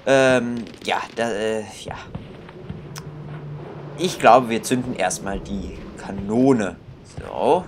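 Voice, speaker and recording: A man's voice: on the low side, slow, noisy background. A young man commenting casually on a game he plays, musing aloud and reading its text. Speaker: gaming video host.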